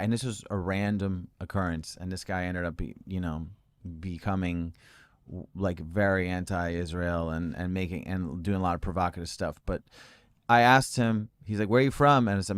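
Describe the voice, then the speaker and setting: A man telling stories as an interview guest, calm and even-toned, with many fillers and frequent laughs. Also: sits low, smooth. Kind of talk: relaxed conversational storytelling.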